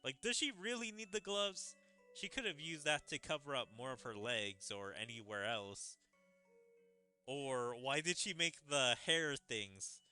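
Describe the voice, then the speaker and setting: A man with a very bright, forceful voice gives casual game commentary. His pitch sits a little high and moves around in a lively way, with mallet-style game music playing behind him. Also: unhurried, smooth. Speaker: gaming video creator playing and reacting to a story event.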